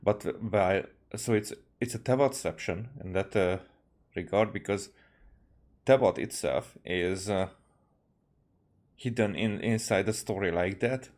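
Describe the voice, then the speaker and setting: A man's voice: lowish voice, unhurried, a little mellow. A man giving casual game commentary as a streamer, hesitant and searching for his words as he explains.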